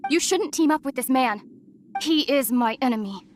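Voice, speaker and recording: A woman's voice: sits high, expressive, moderate pace, background noise. A woman playing a solemn, resolute young princess and long-lost sister, speaking earnestly and with measure in a dramatic video game. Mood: stern. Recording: clear game music behind the voice.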